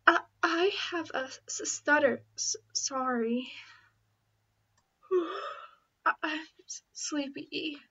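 A woman's voice: high voice, very slow, pauses often, sharp tone, highs cut off, heavily acted. A woman playing a shy, sleepy, apologetic cheerleader girl who stutters hesitantly in an audition. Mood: nervous, apologetic.

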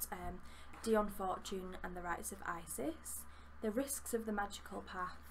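Yorkshire woman in her twenties, mid-pitched, chatty book vlogger at a webcam, faint room hum. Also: poor audio. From Yorkshire, England. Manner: chatty, enthusiastic, rambling with fillers. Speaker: book reviewer. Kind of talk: casual book review vlog. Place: small room with bookshelves, indoors.

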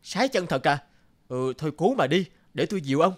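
An actor voicing pushy village men in a cartoon who insist on getting their way. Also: male voice, fairly high pitch, animated, fairly quick. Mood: coaxing. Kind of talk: cartoon voice acting. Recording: music behind voice.

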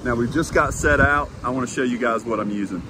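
A chatty man in his thirties talks through a fishing day on an open beach, easygoing one moment and fired up the next. His voice sits mid-range and comes through an action camera on the shore. Steady wind and crashing surf wash clearly under every word. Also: mid-range voice, fast. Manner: chatty, excitable when a fish hits. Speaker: fishing channel host. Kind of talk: casual outdoor vlog narration. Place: open ocean beach outdoors in wind and rain. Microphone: action camera, chest or handheld. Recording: windy beach with loud surf noise.

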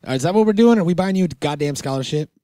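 A man's voice: a little high, animated, rapid-fire, mellow, no room sound, studio quality. A man hosting a podcast in casual banter, joking and swearing freely. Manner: joking, profane. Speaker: podcast host.

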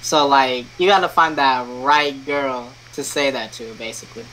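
A man's voice: medium pitch, normal speed, fairly bright tone, slight background noise, low-quality recording. A young man chatting with a stranger on a video call, laid-back and full of fillers. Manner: laid-back, filler-heavy, says like and basically a lot. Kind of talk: casual chat.

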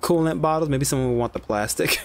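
A man's voice: fairly high pitch, fast talker, a little hiss or hum. A man streaming a video game, chatting casually and swearing freely as he plays. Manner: laid-back, swears freely. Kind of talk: casual gameplay commentary.

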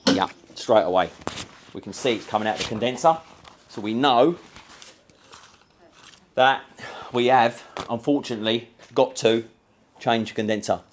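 A British man presents a casual how-to as a working mechanic. His voice sits at an average male pitch, and he explains things step by step in colloquial, matey language. He is recorded a few steps from the camera in a garage, with clear background noise. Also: moderate pace, long pauses. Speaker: car mechanic and video presenter. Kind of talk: hands-on how-to demonstration, casual. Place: cluttered car repair garage, indoors.

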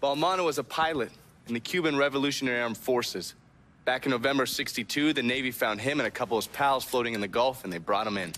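An actor playing a worldly, controlled American government agent in a video game, talking in a knowing, matter-of-fact way. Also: male voice, mid-range voice, fast, fairly bright tone, lightly acted. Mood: matter-of-fact, somber. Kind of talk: video game cutscene acting.

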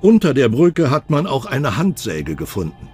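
A man's voice: medium pitch, huge pitch swings, moderate pace. A man reading scripted true-crime documentary narration as the narrator. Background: background music.

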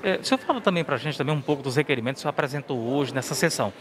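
A man's voice: medium pitch, expressive, very fast, background noise. A man with a Brazilian accent, a reporter asking formal interview questions into a handheld mic. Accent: Brazilian Portuguese.

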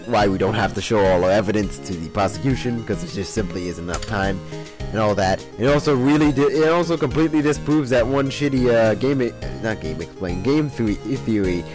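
A young man with a hoarse voice, giving casual commentary on a game and rambling through laughing asides. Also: lowish voice, fairly quick, over music. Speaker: let's play host.

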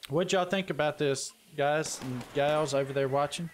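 A man's voice: fairly high pitch, normal speed, slight background noise. Folksy man hosting a livestream. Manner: folksy, says y'all. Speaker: livestream host. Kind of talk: casual chat while gaming live. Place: voice chat during an online game stream.

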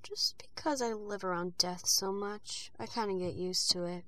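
Woman voicing a hushed, dreary goth reaper girl. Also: mid-range voice, recorded from a distance, natural voice. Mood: dreary, subdued. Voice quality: hushed, breathy, partly whispered. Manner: slow, dreary. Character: dreary, gothic teenage girl reaper of death. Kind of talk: amateur cartoon voice audition.

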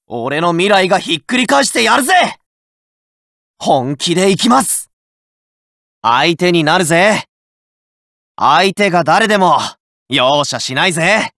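A hot-blooded teenage card fighter from a game, all rough boyish bravado. The voice is bright and forceful, leaping between low growls and high shouts. Between the cries he drags words out slowly, recorded close and dry in a studio. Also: no echo, exaggerated voice. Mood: resolute. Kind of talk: game voice lines, shouted battle calls.

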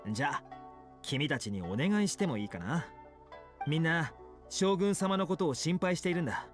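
A man's voice: fairly high pitch, animated, moderate pace, faint music behind, close to an everyday voice. A man playing a courteous, friendly young retainer in a video game, speaking politely and earnestly. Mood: earnest, grateful. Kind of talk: scripted video game dialogue.

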